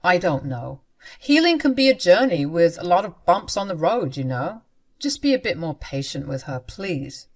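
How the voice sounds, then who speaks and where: A woman voicing a young husband torn between his wife and his mother, speaking in a soft, pleading way. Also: fairly low pitch, big pitch swings, normal speed. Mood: soothing.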